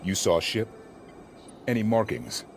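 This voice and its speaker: A disciplined male soldier in a sci-fi game, played by an actor, with a very low voice and measured, formal delivery. Noise is clearly audible behind him. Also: lightly acted. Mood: businesslike. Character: calm, disciplined male futuristic soldier squad leader. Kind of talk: video game cutscene acting.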